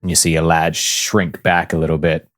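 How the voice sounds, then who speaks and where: A man's voice: a bass voice, little pitch movement, moderate pace, dry recording, studio quality. A man narrating a tabletop fantasy game as its game master. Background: music.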